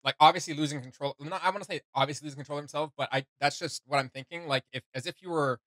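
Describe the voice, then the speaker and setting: Young male reaction host, bright, higher-pitched voice, close dry sound, rambling casual chat. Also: racing, no room sound. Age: young adult. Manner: excitable, rambling. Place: small room at home, indoors.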